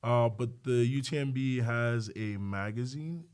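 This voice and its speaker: A man's voice: medium pitch, a little slow, darkish tone, smooth. A man from Nigeria, chatting casually as a doctor and podcast guest in a self-deprecating, joking way. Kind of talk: casual interview chat.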